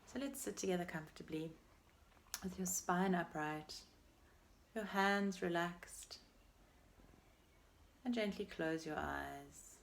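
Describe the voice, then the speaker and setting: A woman with a fairly low voice and a clear creak leads a guided meditation. She gives soft, soothing instructions at an unhurried pace, leaving space between phrases. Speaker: meditation teacher. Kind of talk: guided meditation, body scan.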